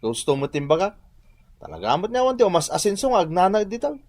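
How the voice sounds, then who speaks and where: A man's voice: sits high, sing-song, fast talker, darkish tone. An actor playing an ambitious, proud young man in a radio drama, speaking with an Ilocano accent. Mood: defiant, scornful. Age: young adult. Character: ambitious, proud young son scorning his poor village.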